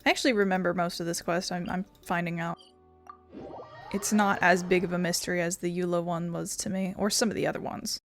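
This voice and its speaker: A woman's voice: fairly low pitch, level tone, a little fast, soft background music. A woman hosting a gaming video, commenting casually and reading the game's dialogue choices aloud.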